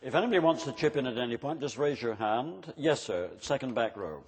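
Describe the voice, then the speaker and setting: Husky-voiced man moderating a forum. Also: mid-range voice, animated, brisk. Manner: calls on people by name, asks prompting questions. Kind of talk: chairing a public forum. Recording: long hall reverb.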